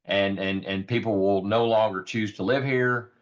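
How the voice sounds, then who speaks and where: A man with a raspy, hoarse voice giving an earnest informal presentation on a rural health program, with many ums and repeated words. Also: on the low side, fairly even intonation, normal speed. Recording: dull lo-fi audio.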